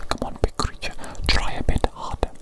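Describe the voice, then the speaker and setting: Whispering woman in an ASMR doctor roleplay. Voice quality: breathy unvoiced whisper. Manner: slow, repeats phrases softly. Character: fussy evil doctor examining a creature. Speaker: ASMR performer playing a doctor.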